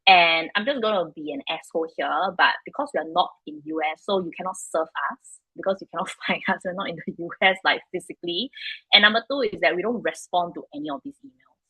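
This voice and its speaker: Bright, husky Singaporean woman, frank and chatty. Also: on the low side, quick pace, dry recording, rough recording. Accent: Singaporean English. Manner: frank, chatty, many fillers like "like" and "you know". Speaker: startup founder and guest. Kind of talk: casual interview answers.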